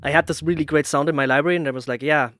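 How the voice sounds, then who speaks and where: A man's voice: a little high, fairly even intonation, rapid-fire, a bit bright, no echo, clean studio sound. A man hosting a casual interview, telling his own experiences and acting out his reactions. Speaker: podcast host.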